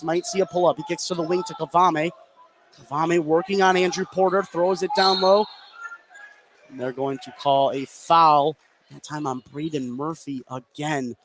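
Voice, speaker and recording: A man doing live radio play-by-play of a basketball game, following the action continuously and lifting his voice on big plays. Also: on the high side. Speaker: play-by-play sports commentator. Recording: noisy live gym broadcast.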